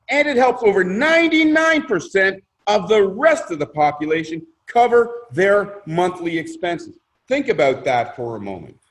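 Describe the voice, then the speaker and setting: High-pitched man giving an emphatic sales pitch. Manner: emphatic, theatrical, with rhetorical questions and repetition. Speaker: presenter pitching a crowdfunding scheme. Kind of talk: motivational sales presentation.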